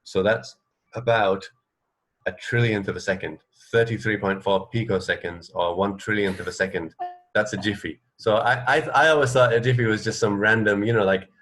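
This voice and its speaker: A man's voice: on the low side, fairly even intonation, normal speed, fairly bright tone, soft background music. A man co-hosting a radio show, chatting casually about trivia in a hesitant, mildly wry way. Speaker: radio co-host. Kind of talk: casual chat, sharing trivia.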